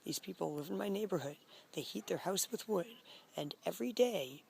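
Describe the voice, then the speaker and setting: Hoarse, faint woman murmuring to camera. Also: on the low side, animated, brisk, a little hiss or hum. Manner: soft, murmuring, often near a whisper. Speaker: vlogger. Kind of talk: casual video diary. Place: at home, lying on the floor.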